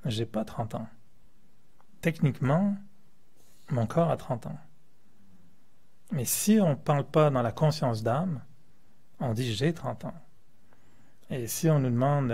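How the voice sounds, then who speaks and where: French-born guy teaching meditation, gravelly voice, jokey, chuckles a lot. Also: mid-range voice, mellow. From France. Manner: playful, joking, chuckles often. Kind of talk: spiritual talk to a class.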